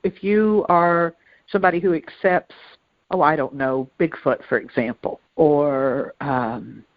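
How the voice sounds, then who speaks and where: A woman's voice: low-pitched, no room sound. Musing woman on a dry, dull podcast recording. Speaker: podcast co-host. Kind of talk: casual conversational discussion.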